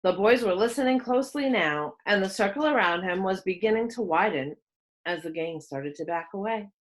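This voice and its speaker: A woman narrates a children's book aloud. Her mid-range voice moves freely up and down in pitch, and she uses dramatic pauses to carry the storytelling. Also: lively intonation, low-quality recording. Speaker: storybook reader.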